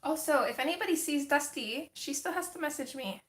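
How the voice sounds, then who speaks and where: A woman hosting a casual livestream, chatting with viewers as she colors, her voice a little gravelly from just waking up. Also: high-pitched, fairly quick, echoey room. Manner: chatty, reads and answers chat comments. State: slightly gravelly morning voice. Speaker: livestream host.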